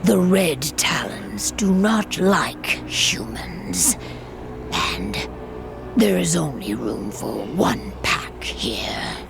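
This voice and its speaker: A woman playing a proud, menacing werewolf pack leader in a video game, commanding, with a gravelly, breathy growl. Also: low-pitched, big pitch swings, over music, heavily acted. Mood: stern, menacing. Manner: slow, commanding. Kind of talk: video game cutscene acting.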